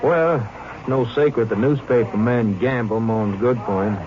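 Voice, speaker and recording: A man's voice: medium pitch, normal speed, close to an everyday voice. An actor playing an insistent small-town newspaperman in a 1950s radio drama, with a clipped, emphatic way of talking. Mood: emphatic, knowing. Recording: muffled, noisy old radio recording.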